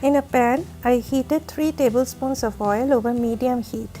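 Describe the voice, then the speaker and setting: A woman with a raspy, heavy voice from a flu, giving careful step-by-step cooking instructions as the host of a recipe channel. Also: high voice, normal speed, music in the background. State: hoarse from a flu. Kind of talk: recipe voice-over narration.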